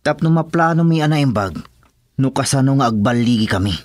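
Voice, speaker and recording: Male actor as deferential gang henchman, Ilocano accent, mid pitch, dry studio sound, obedient, radio drama. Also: animated, brisk, darkish tone, no echo, close to an everyday voice. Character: deferential henchman of a crime boss, assuring loyalty.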